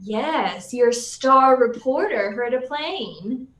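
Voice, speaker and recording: A fairly high female voice from an actress playing a brash young reporter in an old radio serial. She is sardonic and needling, with clear room echo around a slightly distant voice. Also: lively intonation, slow, fairly bright tone. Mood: scornful. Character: brash, sarcastic young woman newspaper reporter. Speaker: actor in a live radio play. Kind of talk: 1940s radio serial acting. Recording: roomy, echoing, off the mic.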